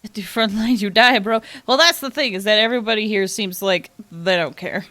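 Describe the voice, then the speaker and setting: A woman's voice: mid-range voice, fairly quick, a bit bright, no echo. A woman game streamer giving casual live commentary in an informal, playful, exclamatory way. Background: game music.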